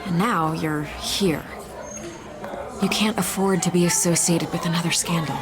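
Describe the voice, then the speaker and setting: A woman's voice: fairly low pitch, steady tone, a little slow, a crowd in the background, lightly acted. A woman voicing a probing newspaper reporter in a video game, insistent and coaxing. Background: music.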